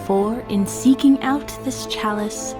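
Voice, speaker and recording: A woman's voice: fairly high pitch, takes it slowly. A woman playing a grave, portentous storyteller, narrating an epic fantasy audio drama. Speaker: narrator, dungeon master. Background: loud music.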